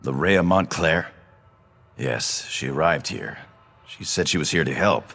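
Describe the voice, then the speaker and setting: Low-voiced, earnest young nobleman. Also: lively intonation, a little slow, gravelly, a bit bright, slightly rough, heavily acted. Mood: dazed, shaken. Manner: hesitant, earnest. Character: shaken, remorseful young nobleman, dutiful son. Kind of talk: fantasy video game voice acting. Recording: hall reverb, music behind.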